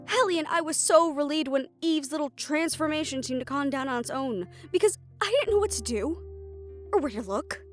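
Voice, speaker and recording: Woman acting a high-pitched, frustrated siren sorceress. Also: expressive, fairly quick, soft background music. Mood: weary, frustrated. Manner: emotional venting, sarcastic and sweary, with heavy sighs. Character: frustrated, overworked siren-banshee sorceress, sharp-tongued but caring. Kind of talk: dramatic audio roleplay addressed to the listener.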